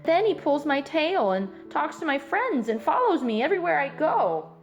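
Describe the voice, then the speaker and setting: A woman's voice: high-pitched, big pitch swings, moderate pace, highs cut off, faint music behind, pitched above the performer's own voice. A woman voicing a sad, pouting little boy raccoon who pleads and whines in a storybook read-aloud. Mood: pleading, sulky. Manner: pleading, whiny.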